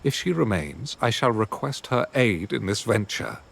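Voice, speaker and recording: This is a guarded male adventurer in a fantasy game. His fairly low voice moves expressively through formal, measured cautions, with faint noise behind it. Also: very animated, creaky, fairly bright tone, heavily acted. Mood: measured, cautioning. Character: guarded, sceptical adventurer advising a traveller. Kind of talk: video game dialogue acting.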